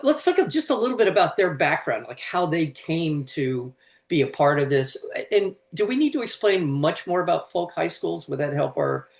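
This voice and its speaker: A woman's voice: deep voice, vocal fry. Roomy-sounding woman podcast interviewer. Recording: roomy, echoing voice.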